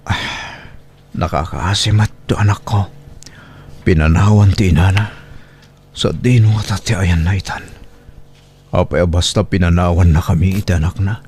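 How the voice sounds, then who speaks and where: A man's voice: deep voice, a little mellow, a little hiss or hum. A man with an Ilocano Filipino accent playing a humble, distressed young father left alone with a baby, in audio drama style, hesitant and pleading. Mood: sorrowful. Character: distressed young single father, humble, abandoned by his partner.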